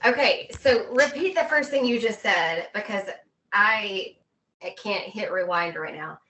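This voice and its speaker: A woman's voice: mid-range voice, expressive, unhurried, pushed and bright, slight background noise. A woman with a mid-range voice hosts a casual interview, sounding somewhat far from the microphone. She reacts playfully and chimes in with joking interjections. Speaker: podcast interviewer.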